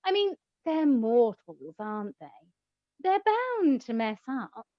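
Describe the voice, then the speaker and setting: A woman acts out a theatrical goddess of love for a comic radio play. The voice sits high and swoops widely as it mocks and teases with drawn-out emphasis. The sound is dry and a little dull. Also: sing-song, dry recording. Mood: teasing. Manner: mocking, drawn-out emphatic delivery.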